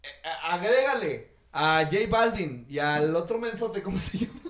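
A young Mexican man hosting a casual group podcast, talking excitably in heavy slang and joking around. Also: high voice, moderate pace, a crowd in the background. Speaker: podcast host. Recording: roomy echo.

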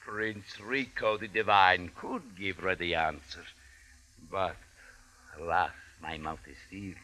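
An actor playing a pompous phony mystic prince in an old radio drama, declaiming in grand, formal, theatrical phrases. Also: male voice, low voice, fairly even intonation, measured pace, pushed and bright, a little hiss or hum. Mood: grandiose. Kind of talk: 1950s-style radio drama acting. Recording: vintage radio recording.

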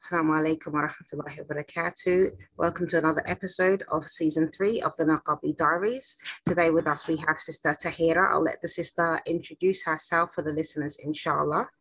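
A woman's voice: deep voice, fairly even intonation, quick pace, husky, no echo, dull audio. A woman hosting a podcast, introducing the show and interviewing a guest in a conversational way.

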